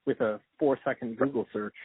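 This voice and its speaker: A tinny, phone-like recording of a man in a casual interview. His pitch sits in the middle, and he is very polite, tripping over repeated words as he chats. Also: fairly even intonation, brisk, slightly rough, no echo. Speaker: interviewer and podcast host. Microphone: phone or call line. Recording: tinny, dry phone-like line.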